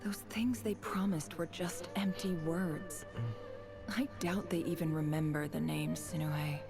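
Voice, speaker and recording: Over clear background music, a cool, bitter daughter of a desert chief. She speaks slowly in a slightly low voice, controlled and cutting, as a dramatic game monologue. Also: fairly even intonation. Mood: bitter, accusing. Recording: music behind.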